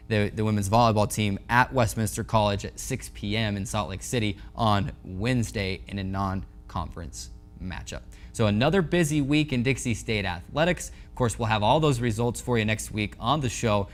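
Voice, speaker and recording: A young man with an American accent, hosting and presenting sports news from a studio desk. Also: fairly low pitch. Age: young adult. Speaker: sports show host.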